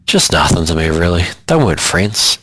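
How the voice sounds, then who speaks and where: A man voicing a hulking Māori former gang member turned preacher, with a booming, theatrical manner. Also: sing-song, normal speed, bright, forceful tone, full character voice. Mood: preaching.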